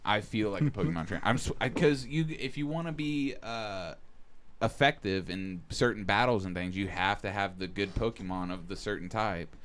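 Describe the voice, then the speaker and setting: Low-voiced man in excited, slangy chat. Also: normal speed, slight background noise. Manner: profane, slangy, enthusiastic rambling. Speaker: podcast co-host. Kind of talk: casual chat with friends.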